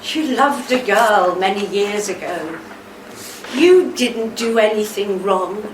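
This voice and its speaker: An actress playing a steady, reassuring middle-aged wife in a radio play, gentle and consoling. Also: female voice, medium pitch, slow pace. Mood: tender, firm. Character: steady, tender, reassuring wife of a troubled veteran. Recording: roomy, distant mic, faint background noise.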